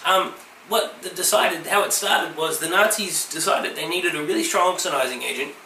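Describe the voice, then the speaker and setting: A man's voice: on the high side, moderate pace, bright, forceful tone. A man co-hosting a casual comedy podcast while getting over a virus, trading dry quips with lots of ums. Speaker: podcast co-host. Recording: echoey room, distant mic, faint hiss.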